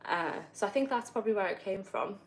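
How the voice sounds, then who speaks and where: A young woman from northeast England with a soft northeastern accent, chatting casually on a livestream while gaming, rambling with many fillers and self-corrections. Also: mid-range voice, expressive, quick pace, a little hiss or hum. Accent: northeast English, softened. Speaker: livestreamer answering viewer questions. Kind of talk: casual live-stream chat while gaming.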